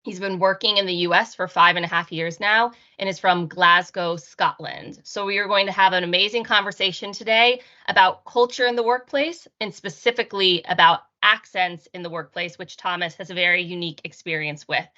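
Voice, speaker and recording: A woman's voice: fairly low pitch, steady tone, a little fast, few pauses, bright, forceful tone, lo-fi sound. A woman hosting an interview show, opening with an upbeat scripted introduction. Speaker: host.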